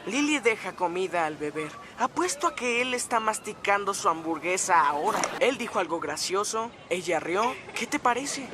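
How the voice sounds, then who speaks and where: A woman playing a teasing, animated teenager in a dubbed TV sitcom. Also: medium pitch, normal speed, few pauses, natural voice. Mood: teasing, urging. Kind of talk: sitcom dubbing in Spanish. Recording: clearly noisy background.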